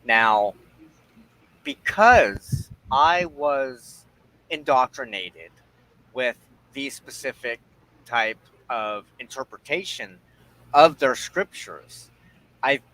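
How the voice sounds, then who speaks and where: Slow, deliberate man in reflective discussion. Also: mid-range voice, lively intonation, a bit bright, poor audio. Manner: halting, deliberate, breaks phrases into short pieces. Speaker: host of a spiritual discussion show.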